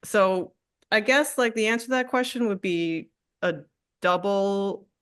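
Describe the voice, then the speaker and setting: A woman artist in a candid interview, speaking reflectively with frequent fillers, restarts and soft laughs. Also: medium pitch, measured pace, clear, resonant tone, dry recording, clean studio sound. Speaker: artist guest.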